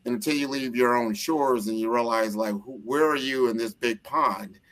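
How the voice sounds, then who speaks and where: A man's voice: mid-range voice, moderate pace, fairly bright tone, rough recording. An American man hosting a casual interview, talking earnestly and rambling with frequent restarts. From United States.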